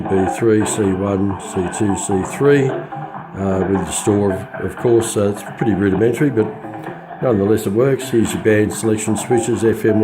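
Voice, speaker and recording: An Australian man giving an informal hands-on product walkthrough in a halting, understated way, his voice slightly scratchy as he gets over influenza. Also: on the low side. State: recovering from influenza. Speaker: radio hobbyist reviewer.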